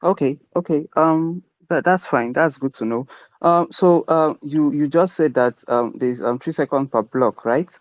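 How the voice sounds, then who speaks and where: Host voice: a man of middle pitch with a very dry, dull lo-fi call sound, halting and filler-heavy as he asks questions. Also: dry recording. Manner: hesitant, many "um" fillers. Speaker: AMA host. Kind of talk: online crypto AMA questioning.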